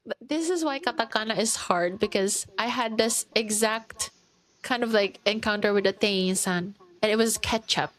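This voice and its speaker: A woman's voice: mid-range voice, moderate pace, rough recording. A woman co-hosting a casual podcast chat, playful and self-mocking, with frequent laughs. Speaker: podcast co-host.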